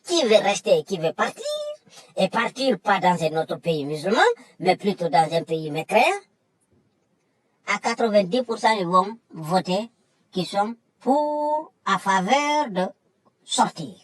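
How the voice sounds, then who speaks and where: A woman's voice: mid-range voice, animated, measured pace, no room sound. A woman delivering an emphatic, lecturing monologue that repeats its points. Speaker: commentator. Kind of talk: impassioned monologue.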